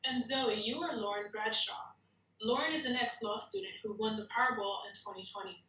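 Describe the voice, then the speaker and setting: An actress reads a blunt, businesslike woman from a drama script. Her voice is highish and bright, a little away from the mic in an echoey room. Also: a little fast, recorded from a distance. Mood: businesslike briefing. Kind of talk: screenplay table read.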